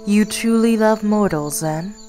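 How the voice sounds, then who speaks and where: A woman's voice: mid-range voice, mellow, faint music behind, lightly acted. A woman playing a proud, formal, ancient nature goddess in a fantasy audio drama. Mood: proud, composed. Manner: formal, measured.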